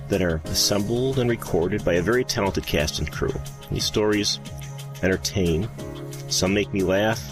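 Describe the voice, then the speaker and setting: American man, lowish voice, animated pitch, measured phrasing, testimonial, music bed. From Maryland, United States. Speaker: member giving testimonial. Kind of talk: promotional testimonial. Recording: music under the voice.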